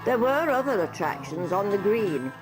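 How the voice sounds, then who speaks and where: A woman reads scripted newsreel narration at a middling pitch, with a touch of wry humour in the delivery. Faint music plays behind her voice. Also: big pitch swings, moderate pace, poor audio.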